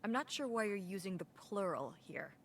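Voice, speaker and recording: A woman's voice: medium pitch, moderate pace. A woman voicing a dry, reluctant, exasperated middle-aged mother in a naturalistic video game performance. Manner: dry, deadpan.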